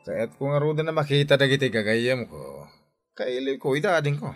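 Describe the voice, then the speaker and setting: An actor playing a carefree, stubborn, hard-drinking husband in a radio drama, with an Ilocano Filipino accent. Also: male voice, medium pitch, sing-song, normal speed, faint music behind. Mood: placating. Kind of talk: Philippine radio drama acting.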